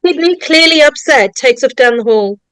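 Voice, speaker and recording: A woman's voice: high-pitched, expressive, moderate pace, fairly bright tone, no room sound. A woman reading screenplay directions as a scripted narrator.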